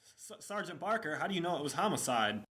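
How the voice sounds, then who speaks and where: A man's voice: on the high side, big pitch swings, quick pace, pushed and bright. A man playing a timid, deferential police sidekick with a hesitant stammer in a 1950s-style radio mystery.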